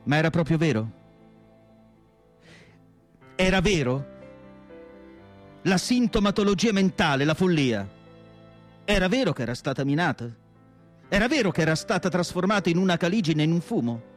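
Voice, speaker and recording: A male actor playing a tormented asylum psychiatrist in a solemn, deliberate radio monologue with dramatic pauses. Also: a little high, animated, faint music behind, close to an everyday voice. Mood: troubled, questioning. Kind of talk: dramatic literary monologue, theatrical radio acting.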